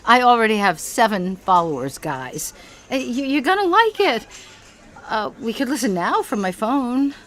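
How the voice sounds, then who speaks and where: A woman's voice: fairly high pitch, very animated, moderate pace, fairly bright tone. An actress playing a self-absorbed, sentimental older mother in an audio comedy, earnest and dramatic. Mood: proud, chirpy.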